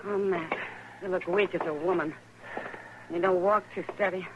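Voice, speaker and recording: An actress playing a direct, sharp-tongued frontier saloon woman in an old western radio drama. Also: fairly low pitch, steady tone, normal speed, highs cut off, lightly acted. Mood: urgent, concerned. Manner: direct, no-nonsense. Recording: noisy, crackly old radio recording.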